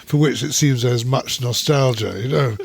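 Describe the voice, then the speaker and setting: A man's voice: medium pitch, moderate pace, creaky, breathy edge, dry recording. Rambling older Englishman in his seventies. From England. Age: 70s. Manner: rambling, many restarts and "you know"s. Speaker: podcast guest, former conference interpreter. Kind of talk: casual interview chat.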